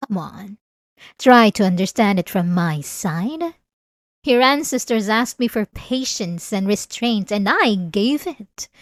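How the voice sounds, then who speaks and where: A woman's voice: medium pitch, a little mellow, dry recording. A woman playing a centuries-old, possessive vampire lover in an ASMR roleplay, silky and condescending, with sweet menace, close to the mic. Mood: menacing pleading. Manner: slow, silky, condescending endearments, teasing menace. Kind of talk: ASMR roleplay, dramatic monologue. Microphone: close, dry studio-style mic.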